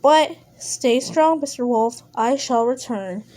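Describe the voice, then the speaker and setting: A woman voicing an ancient, solemn creature from a storybook, with grave, halting pronouncements. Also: on the high side, noisy background. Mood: measured, reassuring. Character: ancient, solemn watcher disguised as a Tasmanian devil.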